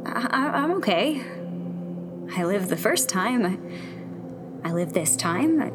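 A woman playing an anxious, sardonic rebel tech in a sci-fi radio drama, halting and breathy with nervous sarcasm. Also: medium pitch, sing-song, music in the background. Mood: panicked, defensive.

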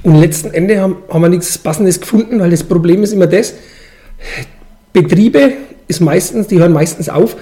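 Male, forties, Franconian dialect, brewmaster interviewee; quick, relaxed-sounding, colloquial explanations. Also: high voice. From Franconian Switzerland, Bavaria, Germany. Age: 40s. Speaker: brewmaster and brewery owner, interviewee.

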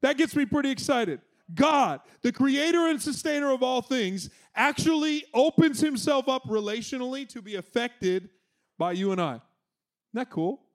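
High-voiced pastor preaching with energy. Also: male voice, moderate pace. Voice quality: loud voice. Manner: energetic, conversational preaching with playful asides. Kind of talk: sermon.

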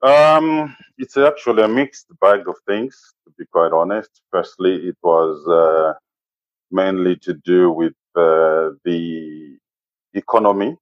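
A man in his forties with a Zimbabwean accent, speaking as an interview guest in a measured, halting way and often saying "you know". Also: sits low, no echo, highs cut off. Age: 40s. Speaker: interview guest, safari business owner. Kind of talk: conversational interview, personal recollection.

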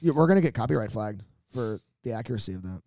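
A man's voice: on the low side, animated, fast, vocal fry, mellow. A man co-hosting a comedy podcast, chatting casually with a joking, exaggerating manner. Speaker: podcast co-host.

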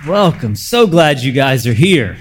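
A man hosting a casual live interview on a church stage, speaking informally and haltingly, often repeating phrases. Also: mid-range voice, very animated. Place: large church room, on stage. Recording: echoey hall, clear background noise.

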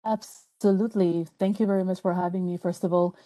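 A woman's voice: fairly low pitch, fairly even intonation, a little fast, dark tone. A woman from France with a French accent, a podcast guest speaking earnestly and reflectively, with frequent hesitations and restarts. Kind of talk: personal interview talk.

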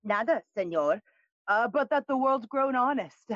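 High, bright, fawning young courtier. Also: female voice, animated, unhurried, no room sound, lo-fi sound. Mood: jovial. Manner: exaggerated, obsequious. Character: fawning, chirpy young male courtier, old school friend. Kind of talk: classical Shakespearean stage acting for audio play.

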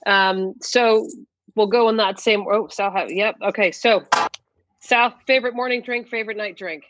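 A woman's voice: medium pitch, fairly even intonation, moderate pace, highs cut off, noisy background. A woman moderating a casual roundtable, upbeat and steering the conversation. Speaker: guest moderator.

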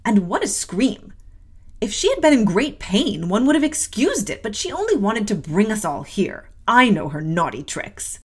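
Audiobook maid, woman performing: sharp-tempered, scolding, scornful, with very animated intonation. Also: mid-range voice, normal speed, breathy edge. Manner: indignant, accusing. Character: disgusted, scolding, hard-hearted lady's maid. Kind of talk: classic novel audiobook character voice.

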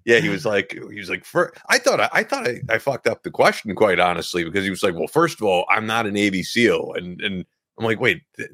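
Man, podcast co-host, casual chat, talkative, bright punchy voice, dry close sound. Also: on the low side, big pitch swings, brisk, no room sound.

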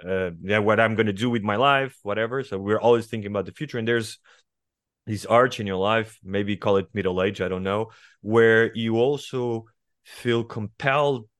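A man's voice: fairly low pitch, moderate pace, a bit bright, dry recording, studio quality. Reflective Portuguese-accented man in his forties. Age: 40s. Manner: reflective, hesitant with many fillers and restarts. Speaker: guest writer. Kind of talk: conversational interview.

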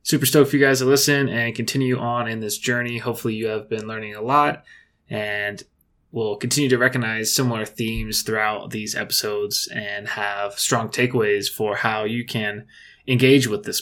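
A man's voice: lowish voice, fairly even intonation, normal speed, a bit bright. A man hosting an interview podcast in an upbeat, informal and enthusiastic manner.

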